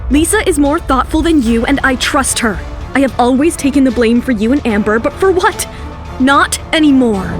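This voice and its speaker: A young bride-to-be voiced by a woman, composed and newly assertive, in an audio romance. Her high voice is firm and earnest, with soft music behind it. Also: lively intonation, normal speed. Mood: defiant.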